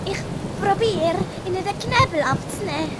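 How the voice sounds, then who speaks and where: A woman acting a desperate, very high-voiced young lady. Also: animated, moderate pace, heavy background noise. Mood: urgent, frightened. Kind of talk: amateur audio drama acting. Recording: loud music and storm effects behind.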